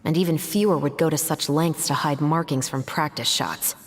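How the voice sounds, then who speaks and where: An actress playing a composed, businesslike young guard captain in a video game, speaking in a measured, formal, matter-of-fact way. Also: female voice, deep voice, fairly even intonation, close to an everyday voice. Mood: analytical. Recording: faint music behind.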